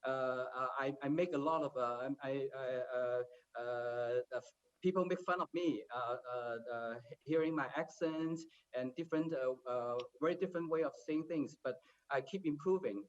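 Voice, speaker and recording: A man on a career panel, speaking haltingly with many filler sounds. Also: medium pitch. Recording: distant muffled remote audio.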